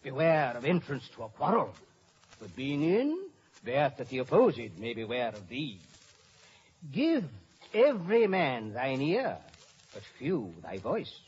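A man's voice: medium pitch, big pitch swings, unhurried, a little mellow, slightly rough, exaggerated voice. An actor playing a pompous, fussy old father and counsellor, lecturing emphatically in radio Shakespeare style. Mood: fussy, sententious. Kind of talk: 1950s radio Shakespeare acting. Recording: muffled, noisy old radio broadcast.

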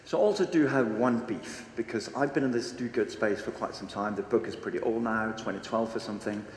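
A man's voice: on the low side, fairly quick, a little hiss or hum. A Danish-accented man in his forties talks to a big seated crowd, casual and blunt, swearing freely and throwing questions at the audience. His handheld mic picks up a roomy hall with a long reverb tail. A faint ambience runs underneath. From Denmark. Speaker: conference speaker. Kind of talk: energetic stage talk. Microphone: handheld microphone on stage.

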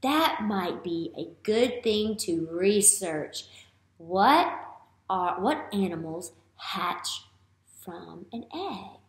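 A woman in her thirties, a teacher reading a picture book aloud to young children and asking them questions with drawn-out emphasis. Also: mid-range voice, animated. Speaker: elementary school teacher. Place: small classroom-like room, indoors. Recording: roomy indoor echo.

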